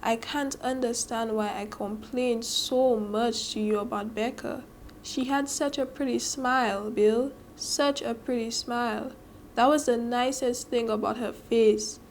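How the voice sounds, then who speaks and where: A troubled mother read by a teenage girl speaks earnestly and with emphasis. Her voice is fairly high with a Saint Lucian accent. It is a storybook reading with slight background noise. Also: steady tone, a little slow. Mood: remorseful. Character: troubled mother, complaining then remorseful.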